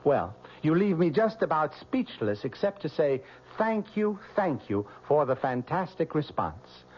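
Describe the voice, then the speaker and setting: Earnest guy producer doing an on-air notice, higher voice, lively, dull old radio sound. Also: measured pace. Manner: earnest, grateful, carefully spelled out. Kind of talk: on-air announcement.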